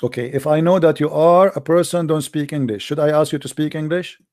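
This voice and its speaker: A man's voice: fairly high pitch, steady tone, a little fast, darkish tone. Insistent man lecturing, Arabic native. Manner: insistent, lecturing, repeats phrases. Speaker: host. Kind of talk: call-in debate.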